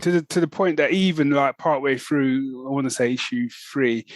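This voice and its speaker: A man's voice: mid-range voice, moderate pace, no room sound. A man co-hosting a podcast, chatting casually and enthusiastically in loose, conversational phrases. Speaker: podcast host. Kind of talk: casual chat about comics.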